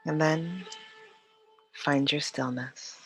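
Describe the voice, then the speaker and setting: A yoga teacher leading a guided meditation in a calm, soothing, unhurried way with long pauses. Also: mid-range voice, faint music behind.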